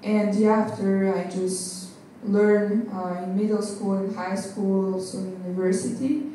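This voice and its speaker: A woman's voice: mid-range voice, steady tone, a little slow, warm tone. A woman from France, a native French speaker, answering in English carefully and hesitantly, searching for words. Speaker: guest volunteer. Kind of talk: casual interview answers. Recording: roomy echo, distant mic, faint noise.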